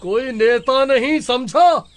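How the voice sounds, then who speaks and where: A man's voice: very high voice, heavily acted. A man voicing a young male beggar in a comic horror story, whining and cocky, with exaggerated complaining and mockery.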